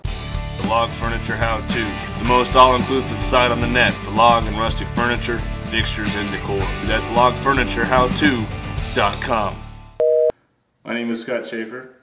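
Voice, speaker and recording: A man's voice: mid-range voice, flat delivery, normal speed, reverberant. Upbeat man hosting a how-to, mic far off. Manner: upbeat, jokey, stumbles over his lines. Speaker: host of a woodworking channel. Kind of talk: casual how-to presenting. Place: home kitchen and backyard. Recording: distant mic with music underneath.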